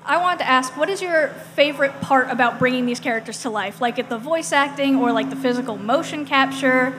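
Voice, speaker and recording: A woman's voice: high-pitched, fairly quick. A woman from the audience asking a casual question at a live event in a convention hall. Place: large indoor convention hall. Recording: echoey hall, loud background noise.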